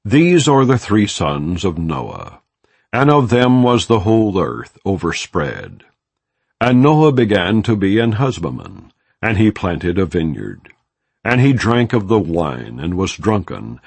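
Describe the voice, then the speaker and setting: A man reads scripture aloud in a slow, solemn, measured way, pausing between each name. His low voice has a gravelly edge and moves a lot in pitch. The recording is dry and close, with no room echo. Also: big pitch swings, a little mellow. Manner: measured, solemn phrasing with long pauses between names. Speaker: scripture reader. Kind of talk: unhurried audiobook reading of scripture.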